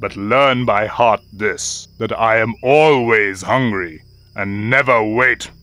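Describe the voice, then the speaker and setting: A man voicing a menacing, gloating lion tyrant in a cartoon, speaking in slow threats. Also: lowish voice, sharp tone.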